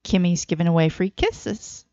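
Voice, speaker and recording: A woman co-hosting a casual chat in terse, deadpan replies. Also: on the low side, normal speed, dry recording. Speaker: podcast co-host. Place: studio. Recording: dry, slightly dull lo-fi studio sound.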